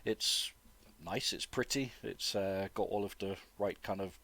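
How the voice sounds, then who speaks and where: A man, chesty with a cold, giving relaxed, informal and rambling flight simulator commentary as a video host. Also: deep voice, unhurried, gravelly. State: getting over flu, chesty cough. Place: dry close-miked voice-over indoors.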